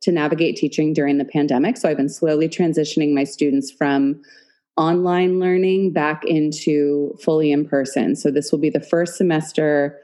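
A woman's voice: low voice, fairly even intonation, moderate pace, dark tone. A woman artist and painting teacher speaking as a guest over a video call from her home studio, earnest and effusive. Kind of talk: casual interview chat. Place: home studio in a converted dance studio.

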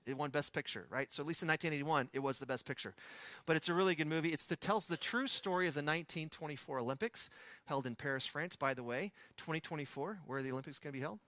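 A pastor with a West Texas accent preaching a sermon in a conversational storytelling way, with personal asides. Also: male voice, mid-range voice, bright, forceful tone, no room sound.

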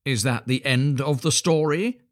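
Man as a high-pitched, pompous water rat. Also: unhurried, natural voice. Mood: know-it-all. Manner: pompous, know-it-all. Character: self-important, opinionated male water rat. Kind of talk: storybook animal voice.

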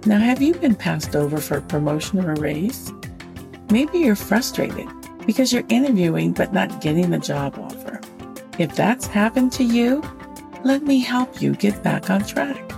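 A woman's voice: mid-range voice, sing-song, moderate pace, vocal fry, music in the background. A woman from Northern California who hosts a career podcast and coaches, speaking in an upbeat, encouraging way. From Northern California, USA. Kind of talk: podcast hosting and ad read.

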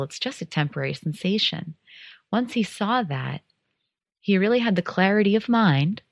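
A woman from the United States, giving a calm, earnest and encouraging reflective monologue as a podcast host. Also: lowish voice, no room sound, muffled recording.

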